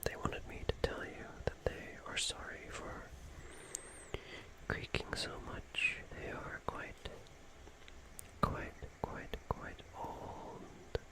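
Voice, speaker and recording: An ASMR host speaking in a soft, breathy, unvoiced whisper, gently repeating phrases in a roleplay style. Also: takes it slowly, rough recording.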